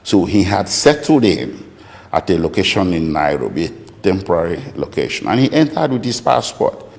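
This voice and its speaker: A man with a Nigerian accent answering interview questions as a lawyer, speaking deliberately and haltingly with precise detail. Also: low voice, big pitch swings, gravelly. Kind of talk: interview answers recounting a client's account. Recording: echoey room with long reverb.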